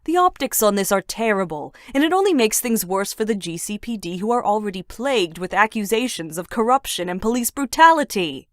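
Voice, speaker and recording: Actress as a composed, probing news commentator, dry sound. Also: female voice, medium pitch, big pitch swings, a little fast, hardly any pauses, dry recording, natural voice. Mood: critical. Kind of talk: fan dub voice acting, news debate.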